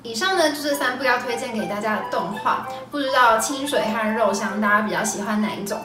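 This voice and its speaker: A woman's voice: fairly high pitch, fairly quick. Young woman w/ taiwanese mandarin accent doing a vlog rec, roomy echo, music behind her. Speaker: video host. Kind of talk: scripted recommendation vlog. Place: small indoor room. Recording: echoey room, slightly distant mic. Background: background music.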